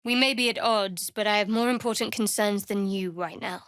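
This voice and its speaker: A woman voicing a guarded, secretive young cleric of a dark goddess in a fantasy video game, with a measured, dryly cool delivery. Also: mid-range voice, clear, resonant tone, dry recording, clean studio sound, lightly acted. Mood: cold, disdainful. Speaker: video game character actor.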